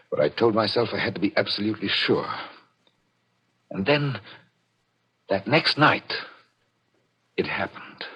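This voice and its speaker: A low-voiced, brooding jealous husband. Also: male voice, highs cut off. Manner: brooding, dramatic, intense delivery. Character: suspicious, jealous husband recounting his plot to murder. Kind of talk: 1940s radio thriller acting with first-person narration. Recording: vintage radio broadcast with organ-style music.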